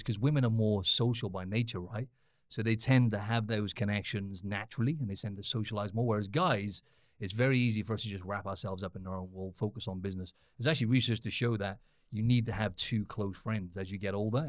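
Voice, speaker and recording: Quick Welsh podcast host. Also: male voice, lowish voice, no room sound, studio quality. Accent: South Wales. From Cardiff, Wales. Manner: matey, addresses listeners directly, tag questions. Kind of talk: casual podcast conversation.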